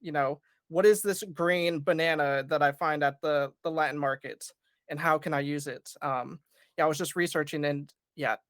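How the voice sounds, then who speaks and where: Talkative panel guest, mid-pitched voice. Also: steady tone, moderate pace, fairly bright tone, smooth, no echo, studio quality. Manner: friendly and talkative, many fillers. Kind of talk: casual panel chat.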